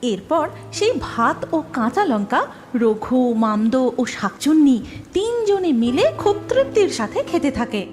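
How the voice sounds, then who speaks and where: A woman's voice: sits high, sing-song, measured pace, darkish tone, faint music behind. A woman narrating a scripted cartoon story.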